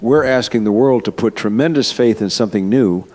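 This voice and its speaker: A man's voice: mid-range voice, brisk, a little mellow, natural voice. A man playing a confident, earnest scientist being interviewed in an audio drama, explaining himself in an assured, persuasive way. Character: male scientist, confident, earnest architect of AI system. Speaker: interviewed expert.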